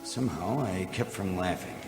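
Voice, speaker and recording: A man playing a wry, hard-drinking private eye, narrating deadpan in the style of a 1940s radio detective parody. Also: sits low, moderate pace. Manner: deadpan, wisecracking noir narration. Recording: roomy sound, music underneath.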